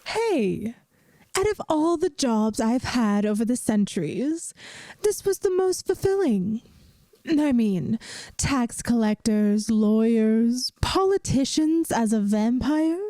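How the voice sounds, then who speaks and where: A woman playing a centuries-old vampire math teacher in an ASMR roleplay, gentle, wry and reassuring, with a soft, soothing, teacherly manner. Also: fairly high pitch, sing-song, slow, no room sound.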